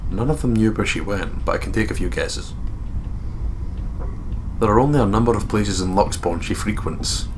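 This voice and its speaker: A man's voice: lowish voice, normal speed, long pauses, slight background noise. A man co-hosting a game playthrough, casually commenting and reading story text aloud, with a joking, sweary manner. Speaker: let's play co-host.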